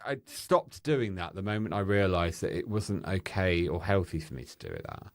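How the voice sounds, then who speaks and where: Loud, exuberant man. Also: low voice, very animated, normal speed, gravelly, no echo. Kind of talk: casual candid chat. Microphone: studio podcast mic.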